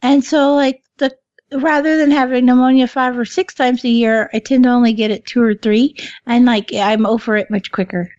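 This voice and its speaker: Brief: woman podcaster, hoarse high voice, dry close sound, quick laughs. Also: fairly even intonation, a little mellow, no room sound, muffled recording. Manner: laughs often, swears freely. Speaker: podcast co-host. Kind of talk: casual chat.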